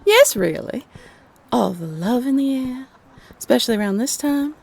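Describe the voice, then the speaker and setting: Woman as a teasing, sultry love spirit, gravelly purr. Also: sits high, expressive, a little mellow, full character voice. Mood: dreamy, delighted. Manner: teasing, purring, drawn-out delivery. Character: teasing, sultry love spirit, unseen guide, playfully affectionate. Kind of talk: scripted roleplay audio drama.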